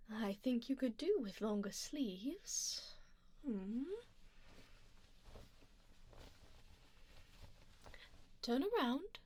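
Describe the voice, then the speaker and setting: Woman acting a noble female vampire, ASMR roleplay, high soft voice, whispery, close dry microphone, formal, doting, possessive, gently bossy. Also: no room sound. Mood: playful, admiring. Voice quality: soft, breathy whispered passages. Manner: genteel, measured, formal, gently commanding. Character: refined, doting, possessive female vampire duchess, playfully condescending. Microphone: close binaural microphone, dry.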